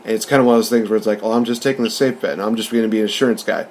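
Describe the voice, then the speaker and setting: A man's voice: on the low side, steady tone, rapid-fire, a little mellow, a little hiss or hum. A man hosting a casual movie review, rambling through it with enthusiasm. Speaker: podcast host and film reviewer.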